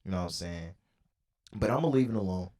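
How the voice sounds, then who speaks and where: A man's voice: sits low, fast talker, mellow. A man hosting a casual podcast, talking in slangy, profane language and repeating phrases for emphasis. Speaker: podcast host.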